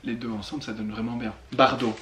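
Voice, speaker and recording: A man's voice: mid-range voice, little pitch movement, quick pace, a crowd in the background. A man bantering casually with his partner in a dry, teasing way. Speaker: vlogger's boyfriend, off-the-cuff commenter. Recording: echoey room, off-mic, faint noise.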